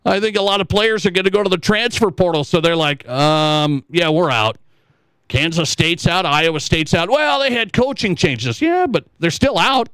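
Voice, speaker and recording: A man's voice: high-pitched, expressive, moderate pace, a bit bright, clean tone, no echo, low-quality recording. An American man from Montana hosting sports talk radio, giving emphatic opinions and acting out mocking objections. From Montana, United States.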